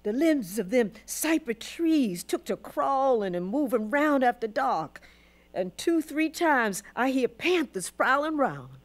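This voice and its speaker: A weary old Southern grandmother in a radio drama. She tells her life slowly and deliberately in country dialect, her voice a little high. The delivery is solemn, devout and full of feeling. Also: sing-song, full character voice. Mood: fearful, haunted.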